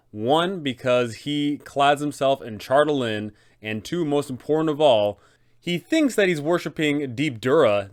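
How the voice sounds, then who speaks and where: A man's voice: medium pitch, smooth. A man in his twenties presenting a roleplaying guide for game masters, explanatory and emphatic. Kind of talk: tabletop roleplaying guide narration. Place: small furnished room indoors.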